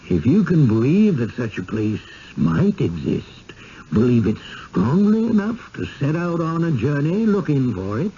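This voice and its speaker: An elderly village innkeeper speaks slowly and persuasively, with swooping intonation and quiet firmness. He is genteel and measured, heard on a dull, noisy old broadcast of a radio play. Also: mid-range voice, vocal fry, heavily acted. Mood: calmly persuasive. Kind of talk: 1970s radio drama acting.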